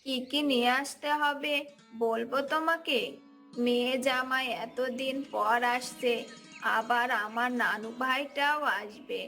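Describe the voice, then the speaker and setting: Woman acting village wife and mother, high voice, caring, bossy, cartoon fairy-tale dialogue, faint music underneath. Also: steady tone, slow, pushed and bright, full character voice. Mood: brisk. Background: background music.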